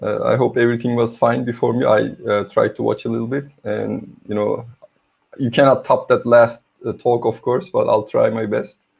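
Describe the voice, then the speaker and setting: Gravelly man with a Turkish accent. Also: mid-range voice, level tone, moderate pace, darkish tone. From Turkey. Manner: hesitant, many fillers, friendly. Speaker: conference speaker, university lecturer. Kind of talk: online tech talk presentation. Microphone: video call.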